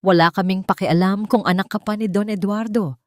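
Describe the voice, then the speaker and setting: A woman's voice: mid-range voice, steady tone, brisk, no echo, clean studio sound, close to an everyday voice. A woman with a Filipino accent voicing a vicious, haughty female rival in an audiobook drama, snarling threats. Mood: furious threats.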